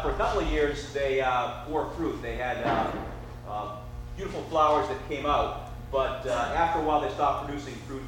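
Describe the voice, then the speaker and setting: A pastor speaking to his congregation, halting and filling pauses with "uh.". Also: mid-range voice. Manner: halting, full of "uh" fillers. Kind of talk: church announcement and sermon intro. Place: church sanctuary. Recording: distant mic in an echoey church hall.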